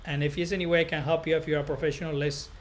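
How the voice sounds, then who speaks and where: A man from northern Spain with a Spanish accent, hosting a casual live stream as a barber educator and chatting to viewers in a rambling, halting way, often repeating himself. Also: on the high side, level tone, a little hiss or hum.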